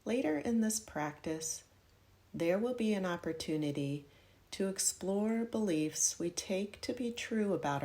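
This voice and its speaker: A woman guiding a yoga nidra meditation in a measured, instructional way, offering gentle directions. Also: low voice. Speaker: meditation guide.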